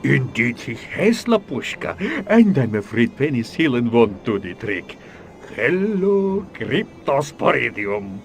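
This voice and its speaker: An actor playing a smug, theatrical Soviet mastermind in a video game, gloating pompously in a Russian accent. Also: male voice, medium pitch, big pitch swings, takes it slowly, gravelly, a little hiss or hum, heavily acted. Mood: smug menace. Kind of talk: video game cutscene acting.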